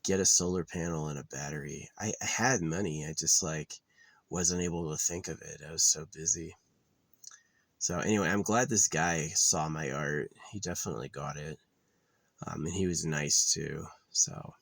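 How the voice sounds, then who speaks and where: A young man with a very low voice rambles on in an offhand, meandering way, often trailing off into filler. He's outdoors at a quiet campsite. The sound is dry and close, with little room around it. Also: moderate pace, creaky, no echo. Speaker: vlogger. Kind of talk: rambling casual monologue.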